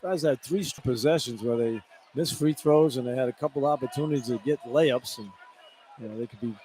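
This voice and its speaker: A man's voice: medium pitch, a little fast, a little mellow. A man giving live basketball color commentary as an analyst, conversational and opinionated. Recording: poor radio feed, clear background noise.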